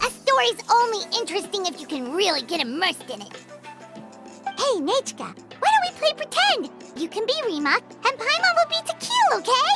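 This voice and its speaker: A tiny, excitable fairy sidekick from a video game, with an extremely high childlike voice. The delivery is exclamatory and leaps up and down in pitch. Music plays prominently behind the voice. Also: female voice. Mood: playful. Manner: speaks of self in third person, exclamatory. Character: small excitable childlike floating fairy companion, female.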